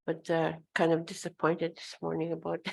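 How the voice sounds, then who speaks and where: An older woman elder whose first language is Oji-Cree, speaking slowly and hesitantly at a gathering, often pausing and clearing her throat. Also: low-pitched, monotone, darkish tone. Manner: slow, hesitant, many pauses and throat clearing. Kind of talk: informal talk at a gathering. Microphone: handheld microphone.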